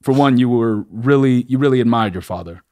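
A man's voice: medium pitch, fairly quick, darkish tone. Laid-back male podcast host. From Los Angeles. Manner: laid-back, enthusiastic, casual slang. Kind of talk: casual podcast interview.

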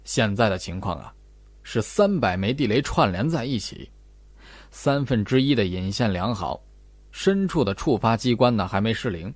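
A male narrator plays a condescending older investigator from an audiobook. His voice sits very low and drawls patronizingly through long explanations. The sound is dry and close. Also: animated, normal speed, no room sound. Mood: explaining. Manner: drawling, patronizing, sentence-final particles. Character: Old Bai, condescending, long-winded investigator, older man. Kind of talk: audiobook character dialogue.

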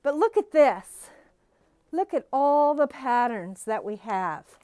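A woman's voice: high-pitched, lively intonation, slow pace, darkish tone, clear, resonant tone, no room sound. A middle-aged woman giving a casual, gushing tour of new stock in a quilt shop, talking through a face mask to a phone camera. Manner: bubbly, gushing enthusiasm. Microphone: phone camera following her, wearing a face mask.

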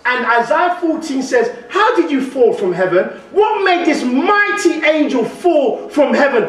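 Man, high voice, West Midlands accent, animated sermon, roomy church echo, distant mic. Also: unhurried. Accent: West Midlands English. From England. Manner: animated, calls out questions to the congregation; gestures broadly at the pulpit. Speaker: guest preacher. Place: large church hall, indoors. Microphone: stand mic at pulpit, far camera.

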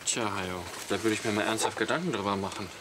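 An actor playing a middle-aged camper husband with a dry, smugly teasing way of talking in a German radio comedy. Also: male voice, on the low side, fast talker, noisy background, lightly acted.

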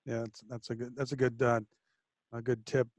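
A man's voice: mid-range voice, level tone, moderate pace, dry recording. A man in his forties hosting a video call interview on a headset mic, musing aloud with fillers and restarts. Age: 40s. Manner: thinks aloud with fillers and repeated starts. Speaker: podcast host. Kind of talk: conversational interview. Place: home studio with a flag behind, indoors.

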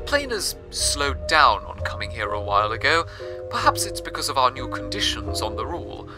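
A male radio host narrates a scripted story in a wordy, measured way. His voice is lively and rises and falls a lot. Clear music plays behind him. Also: mid-range voice.

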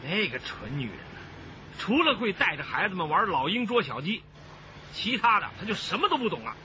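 A hearty migrant foreman in a radio drama, boastful and sure of himself. His voice sits fairly high and moves freely in expansive, homespun speech. The soundtrack is muffled with clear background noise. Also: very animated, a little fast, pushed and bright, heavily acted. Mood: confiding, opinionated. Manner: expansive, folksy, self-assured.

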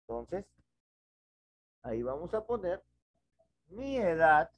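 A man's voice: a little high, sing-song, takes it slowly, dry recording. A middle-aged male math teacher, patiently walking students through a problem at a whiteboard in a small home room, wearing a headset. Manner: patient, explains step by step with questions. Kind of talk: online class at a whiteboard. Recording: dull lo-fi video call audio.

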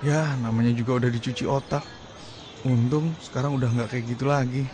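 A man voicing a thoughtful young man in a radio play, casual and reflective. His voice sits at a middle pitch. Clear ambient noise runs underneath. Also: fairly even intonation, fairly quick, close to an everyday voice. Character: thoughtful young man Haji, Damar's older brother. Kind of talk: radio drama acting.

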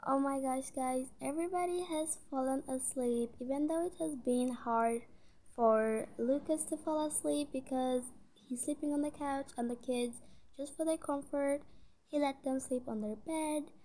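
A woman's voice: high voice, unhurried, mellow, slight background noise. A woman narrating a roleplay story informally, in a chatty, rambling way.

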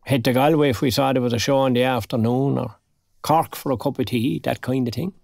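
A recently retired Irish man in his sixties, lonely but wry. His gravelly voice stays understated and wistful, as in an intimate audio drama. The sound is dry, with no room around it. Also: mid-range voice, steady tone, a little fast, darkish tone, no room sound. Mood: wistful, resigned. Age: 60s. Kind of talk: naturalistic audio drama acting.

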